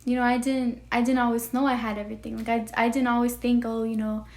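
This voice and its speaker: Young woman vlogger, soft and childlike, a bit high, earnest and rambling. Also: level tone, fast talker. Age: young adult. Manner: earnest, rambling, full of "like" and "you know". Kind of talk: heartfelt personal talk to camera.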